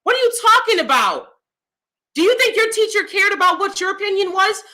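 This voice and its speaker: Loud, bright-voiced blunt woman vlogger. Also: extremely high pitch, big pitch swings, brisk. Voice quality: loud, clear voice. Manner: blunt, emphatic, talks straight to viewers. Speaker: YouTube commentator. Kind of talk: casual opinion vlog.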